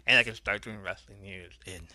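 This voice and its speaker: Bright-voiced man hosting a podcast. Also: lowish voice, brisk. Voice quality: soothing voice. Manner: relaxed, rambling, reads out listener names. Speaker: podcast host. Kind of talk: casual podcast shout-outs and outro.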